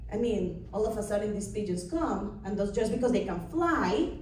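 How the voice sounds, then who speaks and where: A woman's voice: medium pitch, lively intonation, moderate pace, a little mellow. Woman with a Costa Rican accent doing stand-up. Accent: Costa Rican Spanish. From Costa Rica. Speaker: stand-up comedian. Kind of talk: stand-up set. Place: comedy club stage. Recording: far-off echoey room with background noise. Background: audience laughter and ambience.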